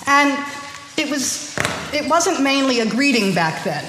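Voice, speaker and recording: A woman's voice: high voice, sing-song, unhurried. A woman born in Korea, speaking informally to a congregation, chatty and hesitant with many ums and restarts. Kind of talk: informal sermon talk to a congregation. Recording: echoey hall with faint noise.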